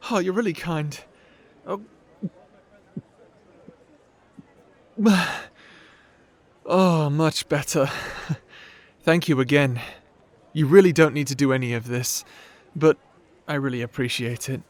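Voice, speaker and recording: Man playing a stammering young wizard, higher voice. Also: unhurried, close to an everyday voice. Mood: awkward, grateful. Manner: stammering, apologetic, flustered. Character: shy, nerdy, awkward young male wizard, anxious and polite. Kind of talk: fantasy audio roleplay acting.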